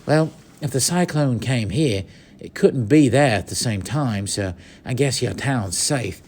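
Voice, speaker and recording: A man's voice: medium pitch, animated, measured pace, a little mellow. A man voicing an earnest, anxious young boy.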